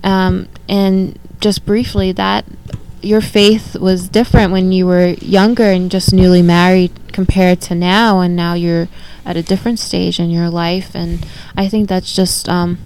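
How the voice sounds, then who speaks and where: A young woman hosting a faith talk, speaking softly and hesitantly with fillers. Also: lowish voice, level tone, unhurried, few pauses, slight background noise, low-quality recording. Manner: soft-spoken, hesitant with fillers. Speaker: host, daughter of guest.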